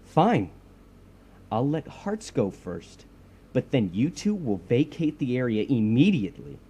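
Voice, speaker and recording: A self-righteous superhero, acted by a man with a husky, mellow voice that swings widely in pitch. He is pompous and threatening, in audio drama style. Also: very animated, measured pace. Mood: commanding.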